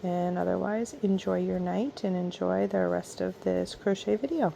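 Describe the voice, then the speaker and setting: Hoarse, gravelly low-voiced woman. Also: slight background noise. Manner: soft, unhurried, trailing off with ums. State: hoarse, raspy, coughing. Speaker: crochet vlogger. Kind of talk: casual late-night chat while crocheting. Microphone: camera mic.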